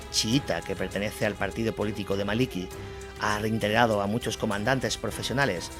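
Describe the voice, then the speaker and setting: A man from reading a scripted documentary war narration as the narrator of an audio drama. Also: on the low side. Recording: music bed under the voice.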